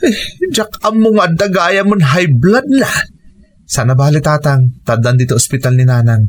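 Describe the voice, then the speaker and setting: A man's voice: on the high side, moderate pace, natural voice. A man with a Filipino accent playing a worried, dutiful adult son in an earnest, emotional radio drama style. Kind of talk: Ilocano radio drama acting.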